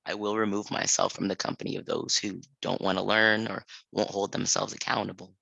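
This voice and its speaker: A man on a panel, calmly reading a reflective personal story at a library event. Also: low voice, gravelly, husky, dry recording, muffled recording. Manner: calm, measured, reflective.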